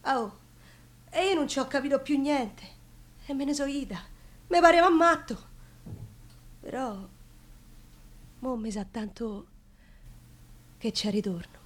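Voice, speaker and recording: A woman's voice: sits high, lively intonation, a little slow, long pauses. An actress playing an ardent young Roman woman, telling a love story in a colloquial, dramatic theatrical monologue with a Roman accent. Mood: bewildered, smitten. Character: young Roman woman recounting her ardent, insistent suitor's plea. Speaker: stage actress.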